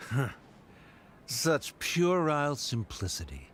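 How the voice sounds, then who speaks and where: A haughty, condescending scholar. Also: male voice, mid-range voice, huge pitch swings, slow, fairly bright tone, a little hiss or hum, heavily acted. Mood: disdainful. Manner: condescending, formal.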